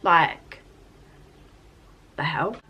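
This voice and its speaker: A chatty teenage girl talks through her makeup routine in a loose, rambling way, filling gaps with "like" and "um". Her voice still carries a drowsy, just-woken softness. A faint hiss sits under the recording. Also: sits low, extremely slow, highs cut off. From England. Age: teens. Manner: chatty, rambling, filler-heavy with frequent "like". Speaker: beauty vlogger. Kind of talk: casual get-ready-with-me chat.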